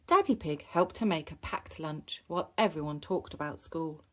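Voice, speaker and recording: A woman narrating a children's storybook in an animated, scripted style with expressive emphasis. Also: deep voice, moderate pace.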